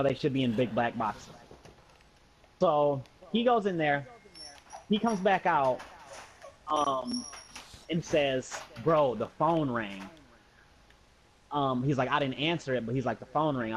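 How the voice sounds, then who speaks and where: A young adult American man casually telling a story to friends in slangy speech, acting out the dialogue as he goes. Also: mid-range voice. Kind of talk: casual anecdote among friends. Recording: dull lo-fi voice recording.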